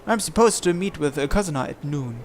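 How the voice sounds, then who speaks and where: A person reading a visual novel aloud as a gamer, narration and dialogue alike, with game music behind. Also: female voice, deep voice, lively intonation, a little fast, a little mellow, a little hiss or hum.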